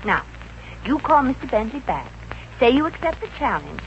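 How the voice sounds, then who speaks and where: A brash American girlfriend in a fifties radio comedy. She is vain, affectionate and bossy, with a highish, lively voice. The old recording is muffled. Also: moderate pace, slight background noise. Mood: eager, bossy. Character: brash, sassy, vain sweetheart, pushy and affectionate.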